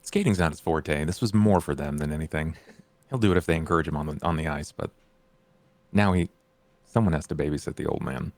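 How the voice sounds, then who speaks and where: A man's voice: extremely low pitch, a little fast, no room sound. A man playing a tabletop game, casually narrating what his character will do.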